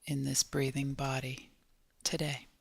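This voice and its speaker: A woman leading a guided body scan meditation in a gentle, measured manner, leaving long pauses between short phrases. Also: very deep voice, little pitch movement, gravelly, breathy edge, dry recording.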